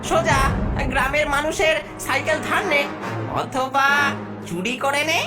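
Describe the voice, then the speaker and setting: Here is a greedy male ghost boss from a cartoon, played by a woman, pitched high over background music. He bullies and schemes like a moneylender, scolding his underlings and gloating over them. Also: fairly even intonation, unhurried. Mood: cunning orders. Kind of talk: cartoon voice acting.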